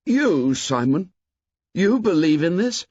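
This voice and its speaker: A man's voice: a little high, sing-song, moderate pace, darkish tone, slightly rough, no echo, voice pushed up. A man voicing an earnest boy leader who speaks urgently and insistently, trying to keep order. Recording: dull lo-fi dry audiobook.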